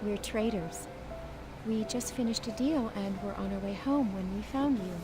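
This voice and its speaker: A woman voicing a calm, courteous second-in-command of a spaceship in a video game, speaking in a measured way. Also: medium pitch, music in the background, close to an everyday voice, poor audio. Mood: gentle, reassuring. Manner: measured, polite, composed.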